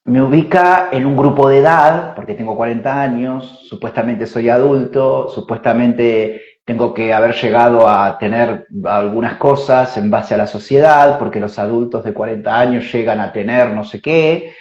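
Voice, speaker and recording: A man in his forties, a spiritual teacher, explains ideas slowly and reflectively, thinking aloud with fillers and tag questions. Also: medium pitch, a little mellow. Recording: roomy, dull lo-fi sound.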